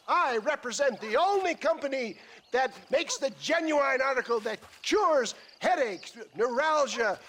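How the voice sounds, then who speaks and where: A high-pitched, florid old snake-oil salesman. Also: a bit bright, slight background noise, exaggerated voice. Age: older. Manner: theatrical, florid, hawker's patter. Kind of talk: video game acting, showman's pitch.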